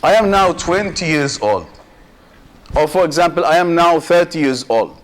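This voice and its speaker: A man, a sheikh giving a Muharram sermon, speaking in short measured phrases with pauses, addressing listeners directly and dropping Arabic religious phrases into his English. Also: fairly high pitch, expressive. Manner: addresses listeners directly and asks rhetorical questions.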